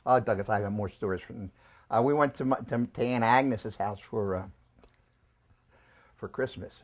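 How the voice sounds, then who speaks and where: An older man being interviewed about his family, talking in a halting, casual way with frequent restarts and chuckles, his voice growing hoarse. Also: fairly low pitch. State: losing his voice. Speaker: interviewee recalling family history.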